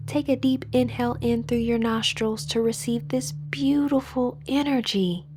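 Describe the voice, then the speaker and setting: Higher-pitched woman guiding a meditation. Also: moderate pace. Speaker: meditation guide, host. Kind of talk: guided meditation narration.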